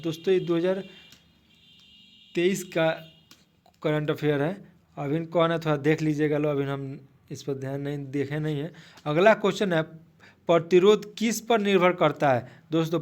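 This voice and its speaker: A man teaching an exam-prep quiz, reading out questions haltingly and repeating the answers to his listeners. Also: on the high side. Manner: repetitive, asks then restates answers, addresses listeners as friends.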